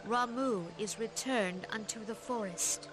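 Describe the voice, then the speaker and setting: A woman playing a gentle, solemn spiritual leader in a fantasy game, speaking in stately, measured, archaic formal phrases. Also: on the high side, lightly acted. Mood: solemn, earnest. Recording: orchestral music behind the voice.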